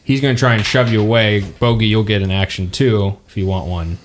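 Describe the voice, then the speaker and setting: A man narrating as game master of a tabletop game, relaxed and quick to laugh. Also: fairly low pitch. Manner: relaxed, laughs often, hesitant fillers.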